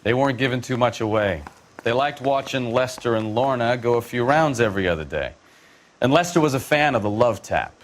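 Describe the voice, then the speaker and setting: A man's voice: medium pitch, lively intonation, fairly quick, lightly acted. A man playing an easygoing police detective partner in a 1940s crime game, explaining things casually and matter-of-factly. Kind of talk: scripted video game dialogue, 1940s crime drama. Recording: loud music and vehicle noise behind.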